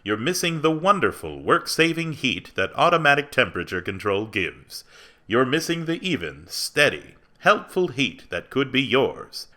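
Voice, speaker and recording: An actor playing an earnest 1940s radio announcer, reading a commercial with emphatic salesmanship. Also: male voice, mid-range voice, bright, forceful tone. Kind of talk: 1940s radio advertisement read.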